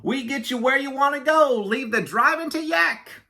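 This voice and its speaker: A reader doing a bright, rambling yak cabbie. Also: high-pitched, normal speed, gravelly, voice pushed up, exaggerated voice. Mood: boastful, rambling. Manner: rambling, indignant, never lets others speak. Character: chatty, self-important, oblivious male yak taxi driver.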